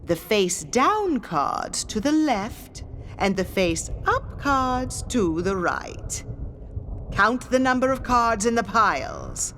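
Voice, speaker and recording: An imperious female ghost medium from a spooky audio drama. Her delivery is slow and deliberate, with sweeping, dramatic rises and falls. She speaks formally and mysteriously, commanding and teasing, over noticeable background ambience. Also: mid-range voice, fairly bright tone, slight background noise, heavily acted. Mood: ominous, challenging. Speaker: séance guide leading a card ritual. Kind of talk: scripted theatrical audio drama narration.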